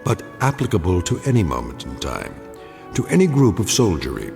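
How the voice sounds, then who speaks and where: Deep, portentous radio drama narrator. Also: male voice, wildly swooping pitch, creaky, full character voice. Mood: solemn. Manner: measured, portentous. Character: solemn male narrator of an uncanny anthology. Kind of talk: scripted closing narration. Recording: long reverb, music underneath.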